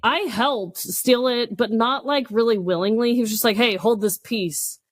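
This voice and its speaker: A woman co-hosting a casual comedy podcast, chatting in an animated, exclamatory way. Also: fairly high pitch, no room sound, clean studio sound. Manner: animated, exclamatory, quick to laugh. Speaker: podcast co-host.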